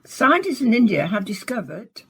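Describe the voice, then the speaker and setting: An actress playing a composed, courteous radio science presenter in a radio play, speaking in a polished, formal way. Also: female voice, a little high, very animated, moderate pace, creaky, husky, heavily acted, rough recording. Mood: bright, presenterly. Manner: polished, formal, carefully phrased. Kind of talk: scripted radio play, science broadcast delivery.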